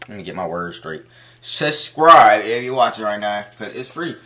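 Laid-back young man with a lively voice. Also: on the low side, moderate pace. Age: young adult. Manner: laid-back, rambling, slangy, encouraging. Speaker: vlogger. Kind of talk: casual vlog talk to camera. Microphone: phone camera.

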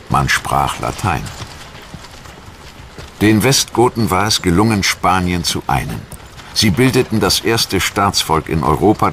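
A man reading scripted historical documentary narration in a measured, solemn and formal manner. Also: deep voice, a bit bright, slight background noise. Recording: music behind the voice. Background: background music.

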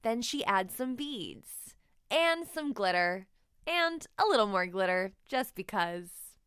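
A woman reading a children's story aloud expressively, with deliberate, emphatic phrasing. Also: medium pitch, big pitch swings, a bit bright, clean tone, no echo, studio quality. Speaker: children's book narrator.

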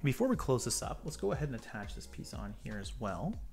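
A man's voice: mid-range voice, big pitch swings, a little fast, gravelly, a little mellow, faint music behind. A man with a stuffy nose from a cold, hosting a model-building tutorial and chatting casually with rambling asides as he works at a table. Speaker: model-building channel host. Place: indoor tabletop workbench.

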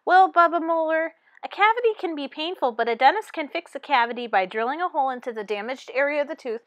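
A woman voicing a brisk, encouraging teacher of a class of teeth in a storybook, projecting instructively. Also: high-pitched, animated, no room sound.

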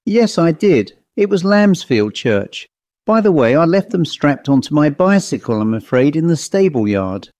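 Well-spoken gentleman guest, clipped and polite, a man voicing him, slightly raised pitch. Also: big pitch swings, moderate pace, no room sound.

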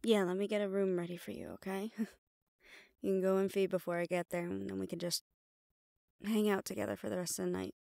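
A tough, loyal female assistant from a mafia audio drama, acted by a woman. Her voice has a creaky, gravelly edge at an ordinary pitch and sits close and dry. She talks in a casual, familiar way, as to a boss she knows well. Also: flat delivery, brisk, a little mellow, no echo, close to an everyday voice. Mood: casual, warm. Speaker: voice actor in audio roleplay. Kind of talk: intimate audio roleplay acting.

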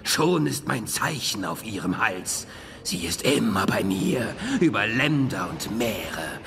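An actor playing an ancient, commanding vampire count who taunts theatrically in a German audio drama. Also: male voice, lowish voice, sing-song, slow, gravelly, background noise, exaggerated voice. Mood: taunting menace.

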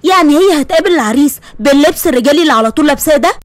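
A woman's voice: high-pitched, lively intonation, very fast, no room sound. A woman voicing a bossy, scolding middle-aged mother with an Egyptian accent. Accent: Egyptian Arabic.

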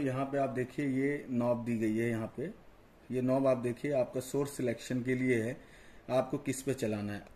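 A man's voice: mid-range voice, darkish tone. Male reviewer, explanatory and informal, recorded in a reverberant room with background noise. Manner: explanatory, repeats "you see" and "I'll show you". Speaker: product reviewer and audio installer. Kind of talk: informal product demonstration to camera. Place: indoor tiled storeroom with boxes.

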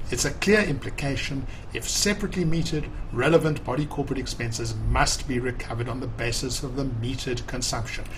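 A man in his sixties giving a measured, formal, explanatory tutorial as a professor, reading from notes and commenting at a desk. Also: medium pitch, slight background noise, rough recording.

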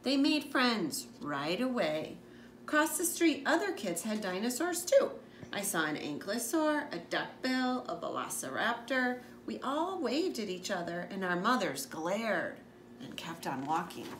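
Woman, expressive storybook reader, swooping intonation, echoey living room, noisy background. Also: mid-range voice, measured pace. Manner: expressive, with asides to listeners. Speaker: school librarian reading aloud. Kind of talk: animated children's picture-book read-aloud. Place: living room, on a couch indoors.

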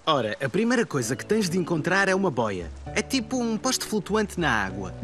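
A man's voice: on the high side, wildly swooping pitch, normal speed, a bit bright. A man voicing a good-natured young father in a children's cartoon, with a European Portuguese accent. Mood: friendly, encouraging.

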